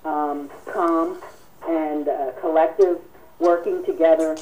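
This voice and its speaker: Low-voiced woman, muffled and distant. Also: fairly even intonation, darkish tone, reverberant, a little hiss or hum. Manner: halting, polite, formal. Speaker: union president hosting a call-in. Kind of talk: telephone town hall, answering callers. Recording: distant radio-like telephone conference audio. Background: telephone conference call.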